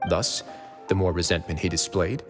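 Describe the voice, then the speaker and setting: A man's voice: low voice, moderate pace, lightly acted. A man voicing a confident, wry fortress warden in a video game, easygoing and persuasive. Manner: easygoing, persuasive, quietly teasing. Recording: game cutscene with reverb and music.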